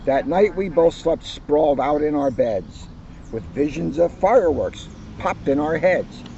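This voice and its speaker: A man who is a police officer, reading a children's picture book aloud outdoors with lively storytelling. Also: a little high, measured pace, vocal fry, lo-fi sound, a little hiss or hum. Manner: lively storytelling, livens up on quoted lines. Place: outdoors on a hill at a historic house.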